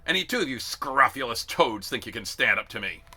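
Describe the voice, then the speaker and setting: Man performing a military drill sergeant, contemptuous, barking, very sharp and forceful, husky, emphatic storybook delivery. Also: medium pitch, big pitch swings, voice pushed up, exaggerated voice. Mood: challenging. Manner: barking, drawn-out emphatic insults. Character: bellowing, contemptuous male drill sergeant, theatrically insulting. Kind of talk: dramatic read-aloud of a military novel.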